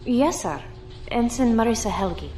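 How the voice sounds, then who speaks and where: A young female ensign played by a woman in a sci-fi audio drama. She is earnest and deferential, with a fairly high, lively voice over some ambient noise. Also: normal speed, close to an everyday voice. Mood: polite. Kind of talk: science fiction audio drama acting.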